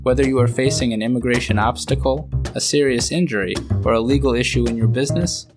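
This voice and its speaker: A male podcast host with a cold, so his voice sounds slightly nasal. He moves briskly through scripted legal updates and ad reads at a medium pitch. Music plays clearly under his voice. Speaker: podcast host and immigration lawyer.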